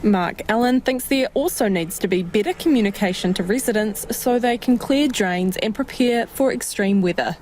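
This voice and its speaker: A woman with a New Zealand accent reads a scripted news report. Her delivery is steady and measured, her pitch in the middle. A faint noise sits under the recording. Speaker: radio reporter.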